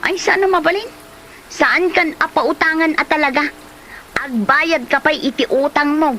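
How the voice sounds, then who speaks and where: A woman's voice: high-pitched, fairly even intonation, moderate pace, pushed and bright, a little hiss or hum, rough recording. A woman playing a nagging, no-nonsense village storekeeper in a comedy drama, scolding in a Filipino accent. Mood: scolding, exasperated. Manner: scolding, challenging. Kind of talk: Ilocano comedy drama acting.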